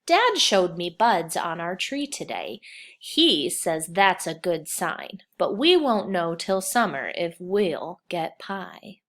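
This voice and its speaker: A woman's voice: lowish voice, sing-song, takes it slowly, fairly bright tone. A woman reading a children's picture book aloud as a kindergarten teacher, expressively, with simple phrasing and questions put to young listeners.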